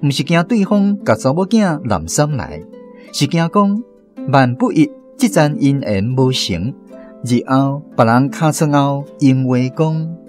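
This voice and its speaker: A man with a Taiwanese accent narrates a scripted story in a folksy way. His delivery is lively, with wide swings in pitch, over faint plucked-string music. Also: measured pace. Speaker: narrator of a radio drama.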